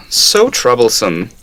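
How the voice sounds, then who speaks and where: Casting: man as playful, wry guardian, anime radio drama; bright mid-pitched voice, theatrical touch, music underneath. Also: a little hiss or hum, heavily acted. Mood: mock weary. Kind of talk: amateur anime-style radio drama acting.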